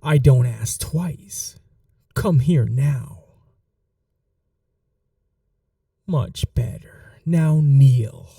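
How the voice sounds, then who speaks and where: Man acting very slow, dark-voiced possessive vampire. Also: medium pitch, creaky, no room sound, studio quality, distant mic, exaggerated voice. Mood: commanding. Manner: slow, hushed, dominant, formal endearments, often whispering. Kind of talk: intimate ASMR roleplay, scripted monologue.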